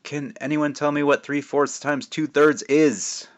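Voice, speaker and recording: A man's voice: a little high, steady tone, measured pace, pitched above the performer's own voice, lightly acted. A man voicing a stern schoolteacher quizzing a class in a storybook, projecting and clipped. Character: stern male math teacher quizzing his class.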